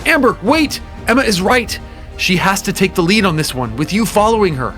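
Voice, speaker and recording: A high-voiced, domineering boss. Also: male voice, expressive, moderate pace, a bit bright, faint music behind. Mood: urgent. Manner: commanding, dramatic. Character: domineering, calculating male boss, easily exasperated. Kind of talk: audio drama acting.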